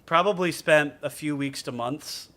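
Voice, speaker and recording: A man giving casual live game commentary as a streamer, thinking aloud in a halting, musing way. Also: on the high side, sharp tone.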